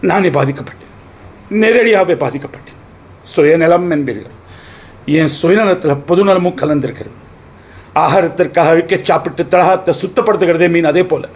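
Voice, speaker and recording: A man playing a wronged, defiant accused brother pleading his case in court, declaiming in theatrical old Tamil film oratory with dramatic pauses. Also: a little high, lightly acted. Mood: proud, impassioned.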